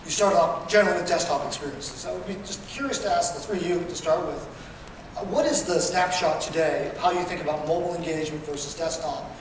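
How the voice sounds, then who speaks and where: A man's voice: fairly high pitch, fairly even intonation, fast. A man moderating a live panel, asking questions in an informal, teasing conversational way. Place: conference panel stage in a large room. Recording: echoey hall, distant mic, loud room noise.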